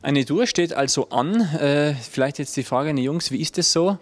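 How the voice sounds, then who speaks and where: A young man hosting a TV music show, asking questions in an upbeat, smooth presenter style into a handheld mic in a stone-walled venue. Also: on the high side, normal speed. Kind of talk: TV interview hosting.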